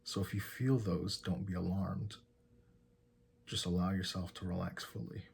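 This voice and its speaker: A man leading a guided meditation in a soft, breathy, husky voice, giving calm and gentle instructions with long pauses. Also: deep voice, slight background noise.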